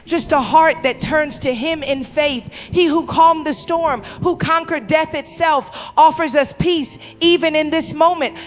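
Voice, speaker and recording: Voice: high-pitched, a bit bright. A performer playing a solemn, earnest pastor who comforts a congregation in a scripted sermon for an apocalyptic audio drama. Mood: solemn, urging.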